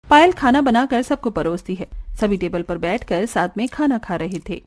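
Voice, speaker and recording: A woman's voice: mid-range voice, animated, normal speed, a little mellow, dry recording. A woman reading scripted narration for a cartoon story.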